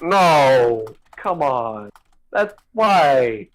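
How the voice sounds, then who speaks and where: Lively, incredulous stream co-commentator. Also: medium pitch, extremely slow. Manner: incredulous, exclaiming. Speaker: co-commentator reacting to a read-aloud. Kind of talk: casual, profane reactions.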